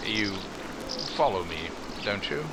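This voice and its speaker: A man playing a proud, awkward gentleman suitor in 1940s radio romance style, formal and hesitant. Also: on the low side, lively intonation, takes it slowly, heavy background noise. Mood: stiff, reserved.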